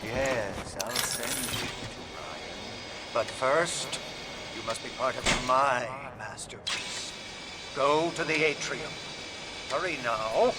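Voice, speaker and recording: A man's voice: medium pitch, animated, slow pace. A male actor playing a flamboyant, unhinged, sadistic artist in a video game, with a theatrical, grandiose delivery. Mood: grandiose, sinister. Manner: theatrical, grandiose, swinging from hushed whispers to outbursts. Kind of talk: dramatic video game monologue. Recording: loud music and noisy ambience underneath.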